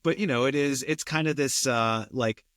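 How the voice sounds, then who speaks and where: A man's voice: medium pitch, normal speed, a bit bright, no room sound, clean studio sound. A man co-hosting a film podcast in casual discussion, often hedging and circling back to rephrase his thought.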